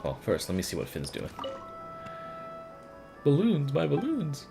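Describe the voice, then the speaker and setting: A man's voice: fairly high pitch, wildly swooping pitch, unhurried, creaky, music in the background. A man giving casual, profane and sardonic live commentary as he plays through a video game and reads bits aloud. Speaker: game streamer.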